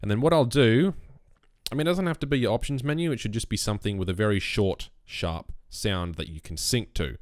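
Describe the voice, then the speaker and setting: Bassy man, relaxed tutorial voice. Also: a little fast, dry recording, studio quality. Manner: relaxed, rambling explanations. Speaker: YouTube gaming creator. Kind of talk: casual screen-recorded tutorial. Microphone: USB condenser mic on a boom arm.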